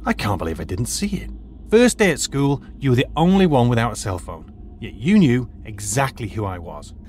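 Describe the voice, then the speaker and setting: An actor playing a retired archaeologist turned high school teacher, measured and lecturing in an audio drama. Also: male voice, sing-song, a little mellow, slight background noise, natural voice. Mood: accusing.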